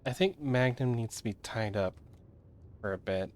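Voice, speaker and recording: A man's voice: mid-range voice, moderate pace, darkish tone, a little hiss or hum. A man doing casual live game commentary as a streamer, chatting and reading lines aloud.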